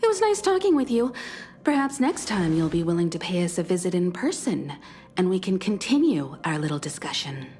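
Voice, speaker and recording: An actress playing a poised, firm and sardonic crew leader in a video game, speaking formally and dryly. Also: female voice, lowish voice, very animated, a little mellow. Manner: formal, measured, dryly stern. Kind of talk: English video game voice acting. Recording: background music under the voice.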